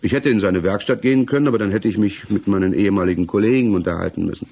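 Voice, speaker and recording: An actor playing a troubled, defensive suspect under interrogation in a German radio play, justifying himself haltingly. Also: male voice, lowish voice, creaky, a little mellow, slightly rough. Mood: uneasy, defensive.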